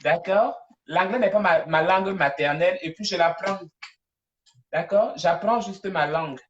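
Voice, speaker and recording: A woman hosting a casual live stream, talking in an animated, digressive, chatty way. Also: lowish voice, normal speed, muffled recording, a little hiss or hum. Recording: distant from the mic.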